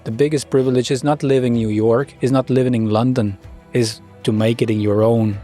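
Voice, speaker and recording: A man's voice: medium pitch, steady tone, fairly quick, mellow, faint music behind. A man hosting a podcast interview, asking questions and reflecting in a conversational way. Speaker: podcast host.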